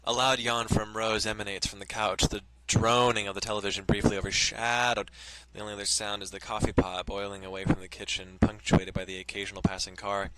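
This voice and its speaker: A man's voice: fairly low pitch, flat delivery, fairly quick, background noise. A man reading visual novel text aloud and commenting casually, as the host of a let's play. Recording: loud music behind the voice. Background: loud guitar music.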